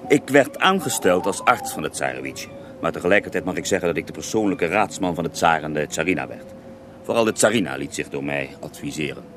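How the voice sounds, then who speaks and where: Devout mystic healer, very low voice, swooping intonation, self-righteous, wounded, radio drama. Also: male voice, animated, brisk, background noise. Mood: self-justifying. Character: pious, self-righteous Russian mystic healer, a grown man. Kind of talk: radio drama acting, mock interview.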